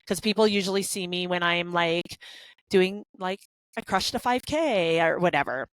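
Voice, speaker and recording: A woman's voice: fairly low pitch, normal speed, clear, resonant tone, no echo, poor audio. A woman in her thirties co-hosting a podcast, talking candidly in a casual chat with many fillers and restarts. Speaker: podcast co-host.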